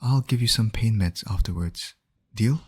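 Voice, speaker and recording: Man playing a low, husky, caring medic. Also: moderate pace. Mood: gentle, businesslike. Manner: gentle, reassuring, explains each step. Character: calm, caring field medic soothing an injured patient. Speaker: voice actor in roleplay audio. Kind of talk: ASMR roleplay.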